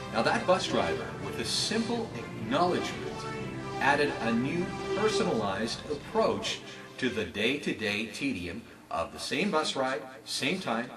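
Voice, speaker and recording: A man's voice: mid-range voice, distant mic. A man presenting a scripted training talk with emphatic, salesman-like phrasing. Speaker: presenter, retail announcement trainer. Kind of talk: scripted instructional narration with acted examples. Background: music.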